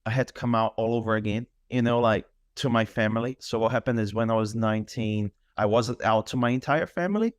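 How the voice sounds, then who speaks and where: Brazil-born guy in his 30s, portuguese is his first language, lowish voice, lots of likes and ums. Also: fairly quick, hardly any pauses, dry recording, studio quality. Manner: thoughtful, with frequent fillers. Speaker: fitness instructor. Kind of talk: casual interview chat.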